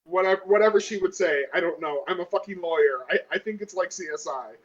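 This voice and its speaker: Muffled lo-fi male gamer, slightly high voice, chatting casually as a player. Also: fast talker. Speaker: tabletop game player. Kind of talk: casual table chat.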